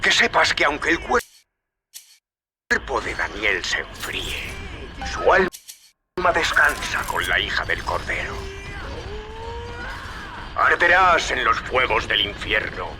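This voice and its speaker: A vengeful game villain, a fanatical preacher. His slow, threatening sermon rises and plunges dramatically in pitch over loud music. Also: big pitch swings. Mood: wrathful. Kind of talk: video game dub acting.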